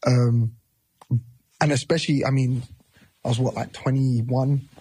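A man's voice: mid-range voice, fairly even intonation, measured pace, dark tone, husky. A man in his thirties, a musician guest, talking casually in an interview, loose and rambling with many restarts.

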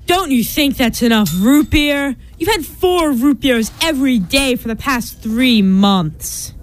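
Female actor as fussy, caring bartender, high lively voice, radio comedy. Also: measured pace. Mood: prim, concerned. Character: concerned, prim bartender who officiates weddings. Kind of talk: comic radio play acting.

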